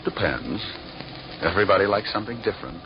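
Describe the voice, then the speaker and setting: Low-voiced hardboiled radio detective. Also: male voice, lively intonation, quick pace, a little hiss or hum. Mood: cool banter. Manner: dry, wisecracking, unruffled. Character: wisecracking hardboiled male private detective, cool and flirty. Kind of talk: 1940s radio acting, first-person narration. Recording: dull old radio recording, music behind.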